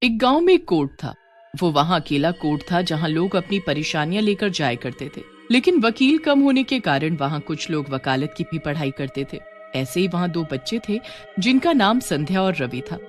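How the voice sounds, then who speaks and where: A woman telling a scripted story as narrator, in an expressive storytelling style. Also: lowish voice, moderate pace, soft background music.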